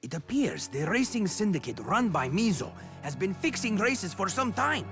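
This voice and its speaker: An actor voicing a cocky, gossipy talking bird who works as a race commentator in a video game. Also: male voice, high-pitched, sing-song, normal speed, fairly bright tone, faint music behind, exaggerated voice. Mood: conspiratorial. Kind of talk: video game cutscene acting.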